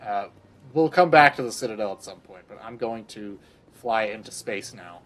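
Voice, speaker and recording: A man's voice: on the low side, expressive, moderate pace, poor audio. A man streaming a game, rambling crudely in casual commentary and laughing often.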